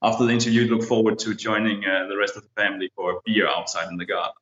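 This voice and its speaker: A man's voice: fairly low pitch, little pitch movement, racing, a bit bright. A man in his thirties from Denmark with a Danish accent, a photographer speaking easygoing English as a guest in a casual video-call interview. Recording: roomy, slightly dull video-call audio.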